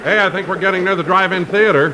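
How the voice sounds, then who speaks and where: A man's voice: sits high, fast, noisy background, lightly acted. A man playing an agreeable sidekick in a 1950s radio comedy, on an old recording. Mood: eager. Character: agreeable announcer sidekick riding along.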